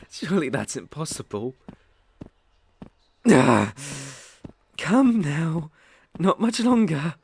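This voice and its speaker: A man's voice: a little high, sing-song, drawn-out words, gravelly, pauses often, darkish tone, breathy edge, exaggerated voice. A man with a British accent playing a sadistic, predatory werewolf in an ASMR roleplay, theatrical and menacing, savoring his words. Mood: coaxing, amused. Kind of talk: ASMR roleplay audio drama.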